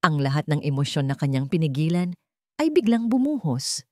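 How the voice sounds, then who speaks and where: Filipina lady reading a dramatic story, lowish voice, really dry recording. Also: sing-song, moderate pace, dark tone, no echo. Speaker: narrator. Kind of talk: dramatic scripted storytelling, radio drama style.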